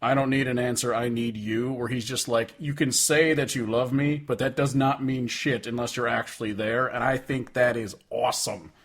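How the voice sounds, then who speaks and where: A man's voice: mid-range voice, fairly even intonation, normal speed, few pauses, a bit bright. A man co-hosting a podcast, talking casually about music in a rambling, emphatic way with plenty of swearing. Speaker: podcast co-host. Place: indoors, remote call between hosts.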